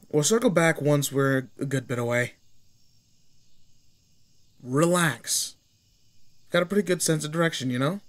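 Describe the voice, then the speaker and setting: A man playing a cocky, teasing teenage delinquent with a soft side, talking casually to the listener in a roleplay audio drama. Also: medium pitch, natural voice. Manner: brash, casual, slangy teasing. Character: cocky, teasing teenage male delinquent, protective and sweet underneath.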